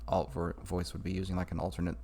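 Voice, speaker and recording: A man talking through a casual, improvised software tutorial in an informal way. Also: deep voice, monotone, fast, creaky. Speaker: tutorial maker. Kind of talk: casual screen-recorded tutorial.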